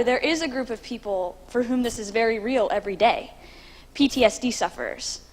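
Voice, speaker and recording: A young woman giving a confident student research pitch into a handheld mic. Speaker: student presenter. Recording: long hall reverb.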